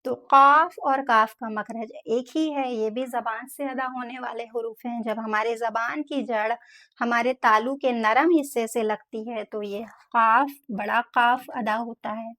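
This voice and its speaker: Lady teacher, slightly high voice, slows down and repeats each letter sound for practice. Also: steady tone, pushed and bright. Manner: slow, careful demonstration of letter sounds with repetition. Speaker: Quran pronunciation teacher. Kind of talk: step-by-step tajweed lesson.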